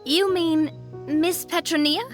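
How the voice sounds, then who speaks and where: A woman voicing a poised, cheerful young noblewoman in a video game, speaking in a genteel, expressive way. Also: extremely high pitch, slow. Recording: soft music behind the voice.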